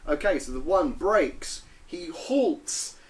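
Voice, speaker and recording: A man's voice: fairly high pitch, expressive, slow. A man running a tabletop game as game master, narrating playfully and humming sound effects.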